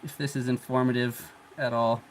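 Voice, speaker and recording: A congested man hosting a livestream, chatting in a relaxed, rambling way while he works, chuckling often. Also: medium pitch, normal speed, slight background noise, poor audio. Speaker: 3D printing livestream host. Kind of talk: casual livestream talk while working.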